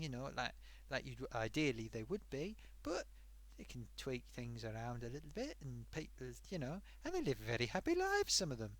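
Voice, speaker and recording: A man's voice: medium pitch, fairly bright tone. Man doing a rambling sock puppet host. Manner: rambling, wandering off topic, talks to viewers. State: sore throat, losing voice. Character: chatty male sock puppet show host. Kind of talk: rambling comedic live-stream monologue.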